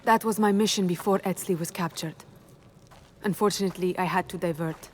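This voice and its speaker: Solemn low-voiced woman warrior acted by a woman. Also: fairly even intonation, brisk, a little hiss or hum, close to an everyday voice. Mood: grave, resolute. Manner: formal, declarative. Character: solemn, proud woman warrior, duty-bound rebel leader. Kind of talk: video game cutscene acting. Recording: music under the voice.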